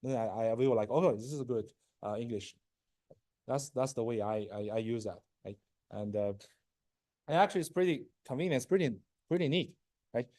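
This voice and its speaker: Brief: man, 40s, native Chinese speaker, university lecturer; warm, relaxed voice, dry close sound, halting delivery with lots of "uh" and "right?". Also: medium pitch, no room sound. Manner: halting, many fillers and restarts, asks "right?" often; frequent throat clearing. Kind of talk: classroom lecture.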